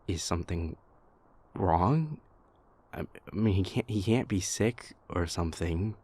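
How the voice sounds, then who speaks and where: A man playing an anxious, exasperated young vampire prince in an intimate audio roleplay, speaking in a halting, stammering way. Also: low-pitched, darkish tone, husky. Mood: worried. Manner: halting, stammering, venting, sighing pauses.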